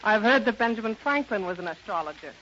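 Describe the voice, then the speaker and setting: A woman's voice: medium pitch, expressive, fast, a bit bright. A woman, an actress, making scripted stage chat on a 1930s radio broadcast. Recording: dull, noisy 1930s radio recording.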